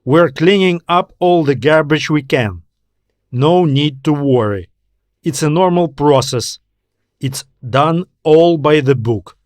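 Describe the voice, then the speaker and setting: A man's voice: a little high, takes it slowly, no echo. A man voicing a terse, polite reptilian alien bureaucrat who talks in clipped, matter-of-fact phrases for an audiobook. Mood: reassuring. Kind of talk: audiobook character dialogue.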